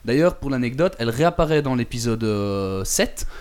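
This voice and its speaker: A man's voice: medium pitch, lively intonation, moderate pace, darkish tone, smooth. A man chatting casually on a talk show, recounting a visit with many fillers and repeated words. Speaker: radio show contributor recounting a trip. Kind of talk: casual chat.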